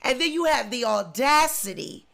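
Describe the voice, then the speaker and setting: A woman's voice: sits high, expressive, normal speed, vocal fry, fairly bright tone. Indignant congested woman ranting. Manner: indignant, emphatic, repetitive. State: congested with flu. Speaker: commentary vlogger. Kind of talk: opinionated celebrity commentary.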